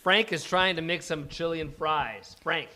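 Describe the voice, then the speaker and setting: A man narrates scripted stage directions for a live comedy table read. His voice is slightly high, with a bright, forceful tone. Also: measured pace, slight background noise.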